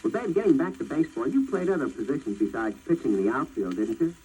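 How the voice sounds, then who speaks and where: Very raspy American 1940s radio interviewer. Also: male voice, medium pitch, sing-song, quick pace, warm tone. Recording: crackly, sizzling 1940s radio disc.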